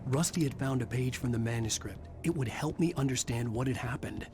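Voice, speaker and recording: A man's voice: mid-range voice, brisk, natural voice. A man playing a troubled thriller writer, narrating his ordeal in the past tense in a horror game. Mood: grim. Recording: loud music behind.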